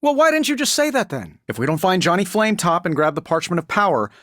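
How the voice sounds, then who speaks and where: An actor playing a witty, cocky billionaire inventor superhero in a video game, with a glib, sardonic, conversational delivery. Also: male voice, high voice, very animated, quick pace, fairly bright tone, no room sound, clean studio sound. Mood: wry, quippy. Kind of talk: video game character voice lines.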